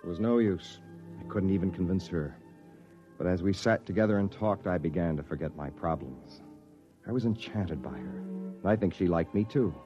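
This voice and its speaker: A man's voice: low-pitched, normal speed, close to an everyday voice. An actor in an old radio drama playing and narrating a stubborn stranded Earthman, on an old recording. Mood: reflective narration. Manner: indignant in dialogue, reflective when narrating. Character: exasperated, stubborn stranded Earthman, story's hero. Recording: dull old radio recording, faint music.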